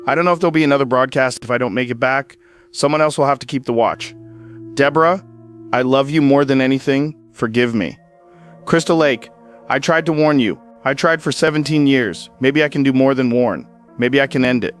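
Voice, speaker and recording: A man's voice: mid-range voice, fairly quick, natural voice. A man playing a 68-year-old small-town radio host in an audio drama, solemn and fatalistic, delivering a plain-spoken emergency broadcast monologue. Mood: tender, remorseful, then grim resolve. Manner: solemn, resolute, plain-spoken short declarations. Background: music under the voice.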